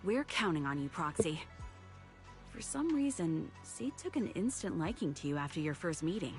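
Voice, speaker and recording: A woman's voice: fairly low pitch, sing-song, normal speed, soft background music. A woman voicing a serious, polite young soldier in an anime game. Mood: earnest, apologetic.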